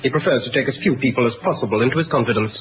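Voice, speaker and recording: An actor playing an officious, protective secretary to a duke in a 1960s radio drama, formal and reproving. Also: male voice, medium pitch, very fast, close to an everyday voice. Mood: reproving, brisk. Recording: narrow-band old radio recording, faint hiss.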